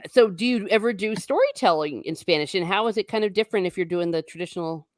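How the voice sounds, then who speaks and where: A woman's voice: mid-range voice, fast, no room sound, studio quality. A woman hosting a casual conversation, a teacher who talks in a chatty way and often laughs mid-sentence. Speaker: podcast host.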